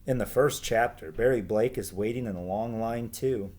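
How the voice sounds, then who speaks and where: A man reading a children's book aloud as a teacher would, in an expressive storytelling style with emphatic dialogue. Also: lowish voice, moderate pace.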